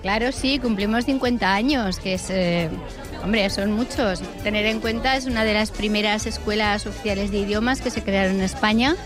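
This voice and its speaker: A woman's voice: mid-range voice, normal speed, music in the background. A woman representing a school, answering interview questions in a hesitant, reflective way. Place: busy building during an event.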